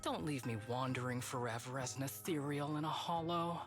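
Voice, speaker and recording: An actor playing a reflective, wistful young male fighter with a chronic illness, speaking softly and philosophically in a video game. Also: mid-range voice, fairly quick, music in the background, close to an everyday voice. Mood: solemn, tender. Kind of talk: dramatic video game voice acting.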